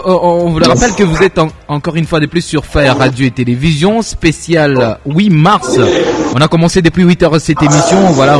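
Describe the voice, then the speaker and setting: A man with a Congolese French accent hosting a live radio show, repeating himself as he brings in guests and questions. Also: on the high side. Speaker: radio host.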